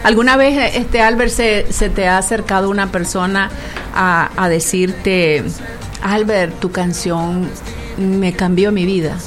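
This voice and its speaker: A woman's voice: mid-range voice, steady tone, slow, soft background music. A woman, hosting a casual interview and often answering with amen. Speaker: host.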